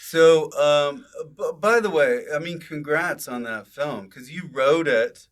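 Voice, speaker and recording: A man's voice: a little high, slow. A man hosting a podcast in casual comedic conversation, with a bantering, irreverent way of talking. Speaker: podcast host.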